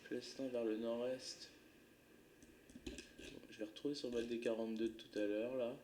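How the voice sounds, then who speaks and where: A man's voice: mid-range voice, level tone, moderate pace, mellow, slight background noise. A man commentating his own play of a geography game live, thinking aloud in a casual, muttering way with some swearing.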